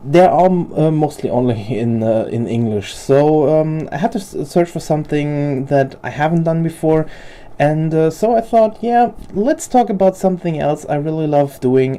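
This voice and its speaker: A woman's voice: low-pitched, dark tone. A young woman whose first language is German, hosting a casual show-and-tell about her collection in a hesitant way, with many fillers and restarts. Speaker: figure collector video host.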